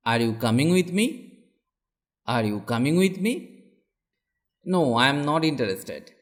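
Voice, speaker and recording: Slow, deliberate man modeling English phrases. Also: medium pitch. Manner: clear, deliberate, exaggerated question-answer intonation. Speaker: English teacher reading practice phrases. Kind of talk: slow model sentences for learners, each said twice.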